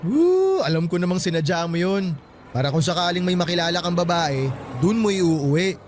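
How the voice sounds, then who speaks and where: A man's voice: high-pitched, very animated, moderate pace, a little hiss or hum. An actor playing a playful, teasing young man who grumbles and banters with friends in a Filipino radio drama.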